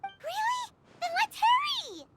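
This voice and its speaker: A woman voicing a tiny, childlike fairy companion in a video game, excitable and easily alarmed. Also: very high voice, slow, heavy background noise, exaggerated voice. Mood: excited. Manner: childlike, exclamatory, speaks of self in third person. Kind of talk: video game character acting.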